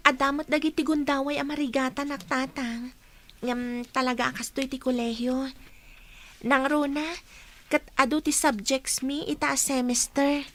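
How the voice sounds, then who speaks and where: A woman's voice: sits high, little pitch movement, moderate pace, fairly bright tone. A woman playing a studious, considerate college-age daughter in a family audio drama, speaking respectfully and reassuringly. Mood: respectful, earnest.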